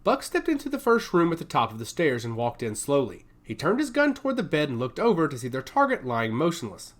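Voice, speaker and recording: A narrator reading dramatic science fiction in an expressive, scripted storytelling style. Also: medium pitch, brisk.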